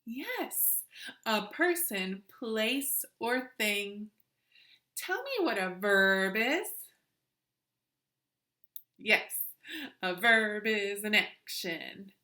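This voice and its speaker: A woman's voice: mid-range voice, animated, drawn-out words, long pauses, bright, forceful tone. A woman teacher leading an interactive children's lesson, encouraging, with simple instructions, questions and repetition. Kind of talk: interactive children's lesson, addressing viewers through the screen.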